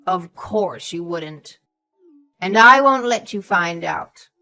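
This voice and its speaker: A woman's voice: on the low side, animated, slow, heavily acted. Woman acting a haughty, condescending elderly mother-in-law, music behind. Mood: bitter, stubborn. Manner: condescending, sharp, calls others sweetheart and darling. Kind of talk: scripted audio story acting.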